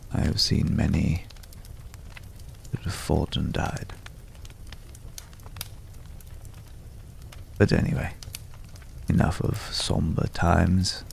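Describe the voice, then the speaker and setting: A man performs a world-weary former monster hunter with a deep voice full of heavy gravel. He is suave and courteous, talking slowly and intimately as in an ASMR roleplay. A faint bed of ambient noise sits behind him. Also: full character voice. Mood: admiring, curious. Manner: measured, hushed, hesitant pauses, suavely polite.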